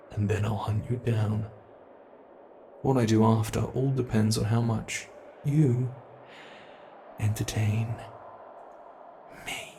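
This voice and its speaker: A gleeful monster from under the bed, speaking slowly and with relish in a gravelly, creaking voice. He taunts the listener theatrically, like a predator in a horror audio roleplay. A faint noise sits behind the voice. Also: medium pitch, dark tone. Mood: eager, threatening.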